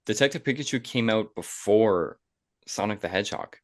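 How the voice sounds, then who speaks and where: A young man with a low-pitched voice hosts a casual podcast. He rambles conversationally and circles back over his phrases. The sound is dry and close. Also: lively intonation, moderate pace, no room sound. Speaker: podcast host.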